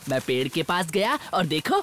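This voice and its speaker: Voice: medium pitch, very animated, a bit bright, a little hiss or hum. An actor voicing a sly, wheedling male jackal who coaxes in a cartoon dub. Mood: coaxing. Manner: coaxing, sly, drawn-out delivery.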